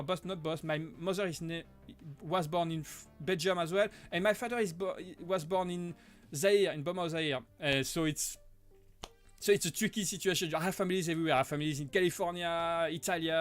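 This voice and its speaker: A man with a French accent hosts a casual live stream, his voice sitting high for a man. He talks in halting bursts, restarting and correcting himself as he answers viewers. Faint music plays behind him. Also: fairly even intonation, smooth. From Switzerland. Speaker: live-stream host, prop maker.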